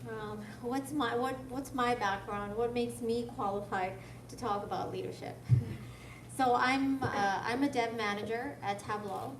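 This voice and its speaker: Soft-spoken woman presenter in echoey, noisy room. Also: a little high, a little slow. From Canada. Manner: hesitant, conversational, asks rhetorical questions. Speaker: guest speaker, software development manager. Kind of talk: informal lecture presentation. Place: lecture room at a tech school. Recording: echoey room, distant mic, noisy background.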